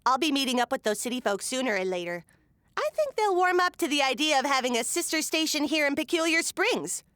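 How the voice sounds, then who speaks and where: A scheming small-town mayor in a comedy audio drama. Her high voice swings widely as she taunts in a sugary, condescending, folksy way. The sound is close and dry. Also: very animated, fairly quick, fairly bright tone, dry recording. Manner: theatrical, taunting, mock-sweet folksy delivery.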